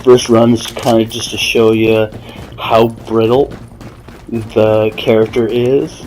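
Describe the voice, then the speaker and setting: A man's voice: on the low side, little pitch movement, slow pace, darkish tone, low-quality recording. Casual, unpolished male gameplay commentator. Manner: hesitant, self-correcting, explanatory. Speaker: gaming video maker. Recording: voice over faint game music and gunfire.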